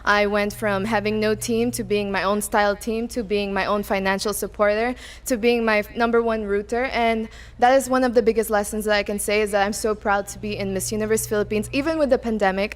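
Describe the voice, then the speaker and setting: A woman's voice: mid-range voice, steady tone, brisk, hardly any pauses. A woman in her twenties who grew up in Macau, a beauty pageant candidate answering interview questions in a bubbly way and mixing Tagalog words into her English. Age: 20s.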